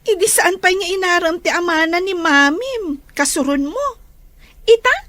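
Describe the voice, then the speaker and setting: A woman's voice: very high voice, normal speed, fairly bright tone, no room sound. A woman playing an inquisitive, teasing young friend in a Filipino radio drama. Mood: teasing, knowing.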